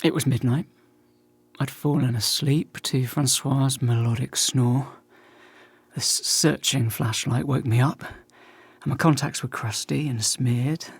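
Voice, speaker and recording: A man's voice: mid-range voice, steady tone, a little slow, husky, no echo. Radio play: male actor as self-deprecating man turning forty, awkward and talkative, naturalistic delivery, very dry sound. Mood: wry storytelling. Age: about 40. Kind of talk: naturalistic radio drama acting.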